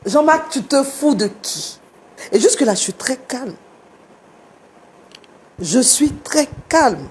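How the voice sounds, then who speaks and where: A woman's voice: high-pitched, very animated, takes it slowly, pauses often. A woman who is a guest on a reconciliation talk show, indignant and confrontational toward her husband.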